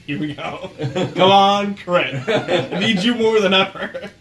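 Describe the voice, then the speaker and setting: A man's voice: high-pitched, takes it slowly, a bit bright, echoey room, distant mic. A man playing a tabletop game, bantering casually in a giggly, playfully argumentative way. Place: indoor room, in-person game session. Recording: busy room with overlapping chatter.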